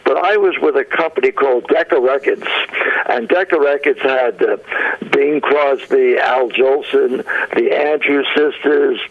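An elderly man with a New York accent speaks at an ordinary pitch over a muffled phone line. He rambles through his memories in a friendly way, stopping often on ums and restarts and chuckling now and then. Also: unhurried. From Brooklyn, New York. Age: 90s. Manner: rambling, chuckling storyteller with frequent hesitations. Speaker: guest, booking agent. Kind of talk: phone interview. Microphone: telephone.

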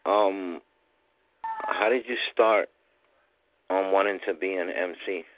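A man hosting an interview, asking casual questions in a halting way with many ums and restarts. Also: sits low, background noise. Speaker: interview host. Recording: dry, tinny phone-like sound.

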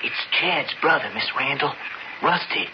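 An actor playing an intense, persuasive young outlaw in a radio western, with folksy frontier talk. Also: male voice, medium pitch, unhurried, natural voice. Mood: urgent, nervous. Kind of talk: radio western drama acting. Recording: muffled, very noisy old radio recording.

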